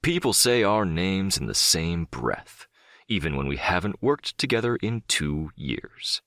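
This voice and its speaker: A man's voice: deep voice, big pitch swings, a little slow, fairly bright tone, no room sound, studio quality, exaggerated voice. A man playing a powerful, middle-aged crime boss in an audiobook drama, controlled, condescending and smugly self-assured. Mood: smug, commanding. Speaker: audiobook voice actor.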